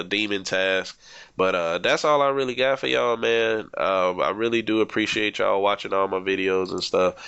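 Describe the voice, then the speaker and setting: A man's voice: sits low, sharp tone, clear, resonant tone, dry recording, dull audio. A young man with a stuffed-up nose, talking casually over his gameplay as a gaming YouTuber, rambling and swearing freely. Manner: laid-back, rambling, swears freely, says "man" a lot.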